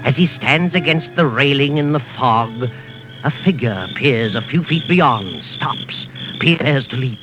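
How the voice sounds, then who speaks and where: An actor narrating a 1940s radio suspense drama as an ominous storyteller, in a measured, dramatic way. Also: male voice, medium pitch, expressive, over music, natural voice. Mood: ominous, suspenseful. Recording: muffled 1940s radio recording.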